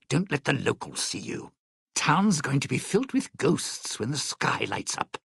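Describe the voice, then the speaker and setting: An actor voicing a suave, cynical, scheming lounge-singer conman in a video game, with a raspy, gravelly voice and sardonic, old-time showbiz patter. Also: medium pitch, a bit bright, no room sound, clean studio sound, heavily acted. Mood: tense, urging. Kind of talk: video game character voice lines.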